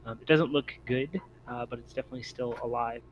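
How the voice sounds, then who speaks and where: A man acting as game master, casually narrating and explaining rules with a hesitant, halting delivery. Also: medium pitch, fairly even intonation, highs cut off, slight background noise.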